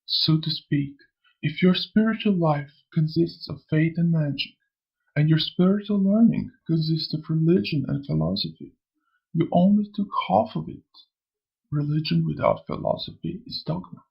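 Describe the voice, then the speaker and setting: A man voicing a sly, amused old spirit in a motion comic, lecturing theatrically in a gravelly, husky voice. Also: a little high, normal speed, lo-fi sound. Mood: preaching. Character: sly, amused old male spirit who preaches and taunts. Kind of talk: scripted motion comic dialogue.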